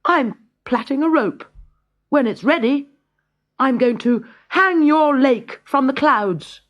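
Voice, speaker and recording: Cheeky storybook peasant boy, adult actor, high-pitched, sly, confident. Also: expressive, takes it slowly, lo-fi sound. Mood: bold. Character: plucky, cunning young peasant lad, boldly bluffing. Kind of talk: children's storybook character reading.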